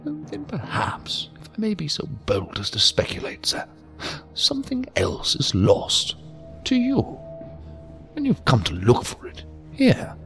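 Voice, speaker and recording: A man's voice: on the high side, wildly swooping pitch, measured pace, a bit bright, full character voice. An actor in an audio drama playing an odd, courteous, philosophical old man, speaking in a formal, ornate, theatrically sing-song way. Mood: cryptic, musing. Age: older. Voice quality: creaky, gravelly, breathy at times. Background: music.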